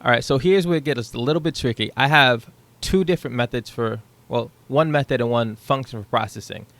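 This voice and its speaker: Young male coding tutor, easygoing screen-share explainer, mid-pitched voice, dry close headset sound. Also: a little fast, no room sound. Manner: relaxed, thinking aloud with ums and rights. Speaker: programming tutor. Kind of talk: casual screen-share code walkthrough. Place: small room at home.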